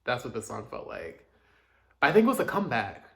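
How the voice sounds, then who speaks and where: A man's voice: medium pitch, very animated, brisk. A man casually chatting through his music reviews, chatty and self-deprecating, with joking asides. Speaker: K-pop reaction host.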